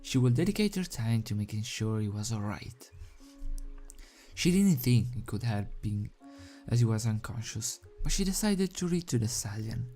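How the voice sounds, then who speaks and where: A man's voice: mid-range voice, sing-song, normal speed, mellow. A man reading fan fiction aloud as an amateur storybook narrator. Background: soundtrack music.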